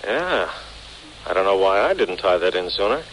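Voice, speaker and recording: An actor playing a steady, affable city police detective, clipped and plain-spoken, in a 1940s radio drama. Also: male voice, low-pitched, moderate pace, full character voice, rough recording. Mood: matter-of-fact. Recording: hissy old 1940s radio recording.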